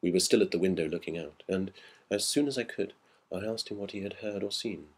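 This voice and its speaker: Male narrator for classic ghost story, very low and husky, measured dramatic delivery. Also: a little mellow. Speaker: audiobook narrator.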